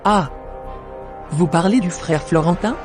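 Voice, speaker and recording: A man's voice: sits high, unhurried. A man playing a frank, concerned churchgoing woman in an amateur cartoon, speaking earnestly. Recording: music behind the voice.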